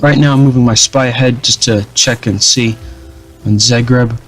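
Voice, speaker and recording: A young man talks through his strategy campaign in an unhurried, meandering way. His voice is flat and a bit dull, with lots of ums, uhs and restarts. His pitch sits in an ordinary middle range for a man. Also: faint music behind. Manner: rambling, many fillers and restarts. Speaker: gaming channel host. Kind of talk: casual gameplay commentary.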